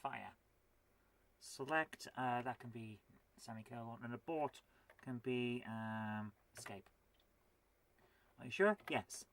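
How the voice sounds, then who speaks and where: A woman's voice: extremely low pitch, takes it slowly, long pauses. A woman of forty with a cold, hosting a live retro gaming stream, chatting to viewers and muttering to herself while she plays in a chatty, self-deprecating way. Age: 40s. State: has a cold. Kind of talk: live gameplay stream chat.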